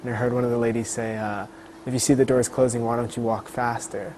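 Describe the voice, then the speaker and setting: A young man in his mid-twenties from Queens talks through an interview in a flat, even voice. He is measured and pauses with "uh" as he searches for words. A noisy background sits under him. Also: mid-range voice. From Queens, New York. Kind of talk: casual interview talk.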